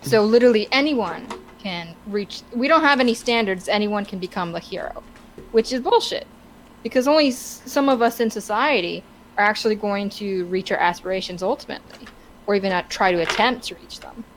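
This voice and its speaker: A woman co-hosting a podcast in casual, opinionated discussion, halting and stammering as she searches for words. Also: mid-range voice, rough recording. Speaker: podcast co-host. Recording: clear background noise.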